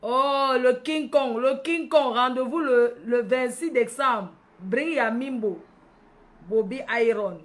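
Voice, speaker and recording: A woman's voice: on the high side, slow. A woman from Cameroon with a Cameroonian accent hosting a casual livestream, addressing followers by name, repeating phrases and tagging on questions. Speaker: livestream host.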